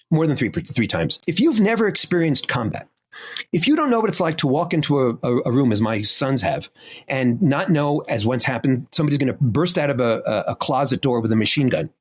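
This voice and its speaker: A man's voice: medium pitch, slightly rough. A middle-aged man, a historian, answering an interview question in an emphatic, impassioned way. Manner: emphatic, impassioned, lists points. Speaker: historian guest.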